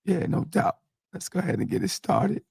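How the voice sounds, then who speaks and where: Hoarse, gravelly man hosting a fan podcast. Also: a little high, normal speed, no echo, studio quality. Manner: animated, hype greetings, rambling. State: hoarse, losing his voice. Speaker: podcast host. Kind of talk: casual fan podcast chat.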